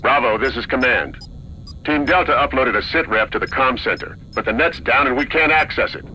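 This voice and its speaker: A man's voice: mid-range voice, a little fast, bright, forceful tone, slight background noise, rough recording. A man playing a stern base commander in a video game, giving clipped, authoritative orders. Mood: firm. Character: stern male military base commander, a major. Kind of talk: video game cutscene acting.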